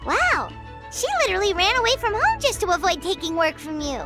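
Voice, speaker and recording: Game casting: childlike floating fairy sidekick, squeaky, very high and animated, cheeky and exclamatory. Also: female voice, a little fast, over music, heavily acted. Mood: teasing. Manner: exclamatory, teasing, slangy. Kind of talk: video game voice acting.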